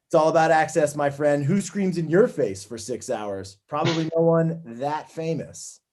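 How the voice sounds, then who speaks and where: A man's voice: on the high side, normal speed, a little mellow, close to an everyday voice. A man playing a crude, bossy TV network boss, blunt and breezily condescending, in a comedy table read. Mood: breezy, self-important.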